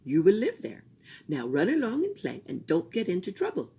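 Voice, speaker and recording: A woman reading as a kindly man in a yellow hat. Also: lowish voice, wildly swooping pitch, normal speed, breathy edge, heavily acted.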